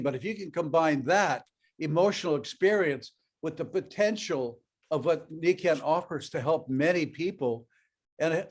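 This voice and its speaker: An older man with a slightly higher voice than most tells a personal story to an audience. He grew up speaking Ukrainian and talks in a loose, conversational way with plenty of fillers. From Europe, raised in North America. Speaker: network marketing speaker.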